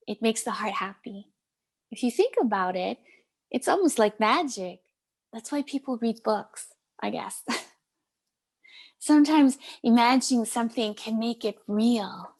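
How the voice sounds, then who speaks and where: Gentle stage-play mother, played by a woman, very slow and emotional, pitched a little high. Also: exaggerated voice. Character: Norma, gentle mother shattered by terrible news. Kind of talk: dramatic table read of a stage play.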